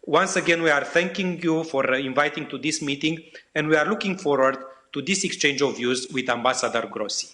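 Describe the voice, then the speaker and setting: A man who chairs a parliamentary committee reads a prepared statement, formal and steady, adding filler pauses when he goes off script. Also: on the high side.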